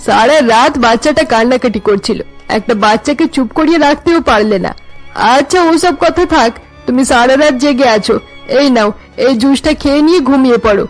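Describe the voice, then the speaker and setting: A woman's voice: sits high, fairly quick, soft background music, close to an everyday voice, rough recording. A woman playing a scolding, scheming older mother-in-law in a cartoon family melodrama. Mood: reproachful. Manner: scolding, accusatory. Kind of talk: Bengali cartoon melodrama dubbing.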